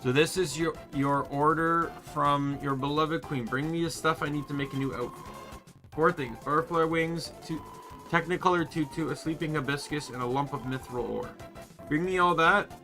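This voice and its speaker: A man's voice: on the high side, fairly even intonation, moderate pace, bright, forceful tone, faint music behind. A man voicing a bossy, teasing young fairy girl who styles herself a queen in a video game, with slangy speech. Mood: teasing, impatient.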